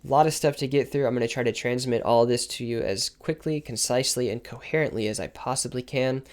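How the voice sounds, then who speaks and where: A man with a slightly hoarse voice, giving a quick, informal explanation of game mechanics with asides and self-corrections. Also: mid-range voice, a little mellow. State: slightly hoarse from repeated takes. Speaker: game guide creator. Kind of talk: explaining game mechanics over gameplay footage.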